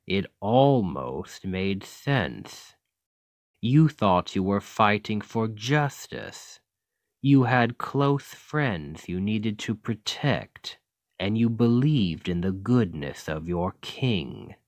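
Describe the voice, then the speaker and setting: Guy doing a smug demon king villain, asmr roleplay, super slow and condescending, close dry sound. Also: on the low side, dry recording, heavily acted. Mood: probing, taunting. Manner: slow, deliberate, condescending, seductive menace. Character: smug, patient male demon king, coaxing and domineering villain. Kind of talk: scripted ASMR roleplay monologue.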